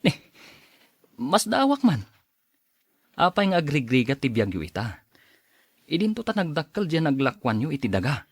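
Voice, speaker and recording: An actor playing a modest, hardworking farmer who speaks politely and chuckles often. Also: male voice, on the high side, wildly swooping pitch, moderate pace, long pauses, slightly rough, dry recording, clean studio sound, full character voice. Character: humble hardworking young farmer. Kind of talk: audio drama acting.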